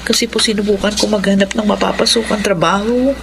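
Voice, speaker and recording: A woman's voice: mid-range voice, flat delivery, fast talker, vocal fry, slight background noise, natural voice. An actress playing a humble, anxious young Filipino mother in a radio drama, deferential and apologetic. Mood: pleading, anxious.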